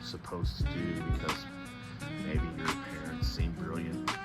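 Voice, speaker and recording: A man's voice: rumbling low, completely flat delivery, unhurried, loud music behind the voice. A man hosting a storybook read-aloud, reading with dramatic emphasis and adding casual commentary. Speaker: host reading books aloud.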